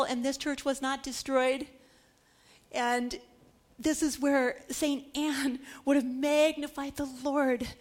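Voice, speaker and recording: A woman with a notably high voice delivers a teaching sermon. She leans dramatically on key words and often turns to her listeners with rhetorical questions, in the manner of a preacher explaining scripture. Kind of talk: sermon with Bible history teaching.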